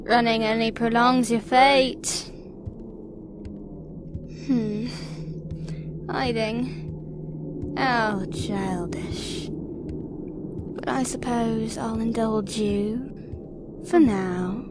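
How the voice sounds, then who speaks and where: A cruel female spirit mocks her victims in a slow, gloating voice, as a woman puts her on. She toys with them, laughing between lines. Audible background noise sits under the voice. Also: a little high, steady tone. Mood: menacing. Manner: taunting, mocking, cruel laughter. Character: malicious female ghost possessing a young woman. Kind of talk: audio drama acting.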